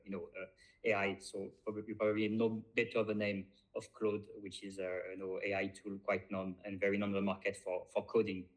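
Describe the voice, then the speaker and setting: Hesitant low-voiced man. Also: completely flat delivery, a little fast, poor audio. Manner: hesitant, many fillers and restarts. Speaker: podcast co-host. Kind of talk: casual chat.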